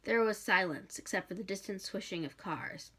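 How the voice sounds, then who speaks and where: A woman narrating fiction aloud in an expressive storytelling style. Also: mid-range voice, normal speed, breathy edge. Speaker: bookshop reader.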